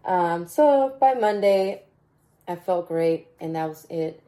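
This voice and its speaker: A raspy-voiced woman hosts her podcast in an unhurried, easygoing way. Her voice sits on the low side, and she often repeats a phrase as she coaches her listeners. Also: lively intonation. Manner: warm mentoring tone, repeats phrases, bursts of laughter. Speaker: podcast host. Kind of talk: casual motivational podcast talk.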